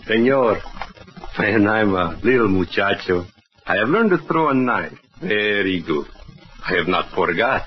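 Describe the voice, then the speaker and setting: An actor playing a calm, amused Mexican hired killer who speaks broken English with a Mexican Spanish accent, in 1940s radio acting. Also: male voice, lowish voice, a little slow. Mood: calmly menacing. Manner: broken English, chuckling, matter-of-fact menace. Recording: dull old radio broadcast with faint hiss.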